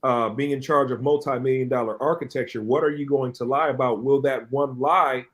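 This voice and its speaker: A man with a voice of ordinary pitch speaks as a co-host on a casual podcast panel. He tells his stories in a rambling way and often restarts his sentences. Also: fairly even intonation, brisk. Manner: rambling storytelling with many restarts.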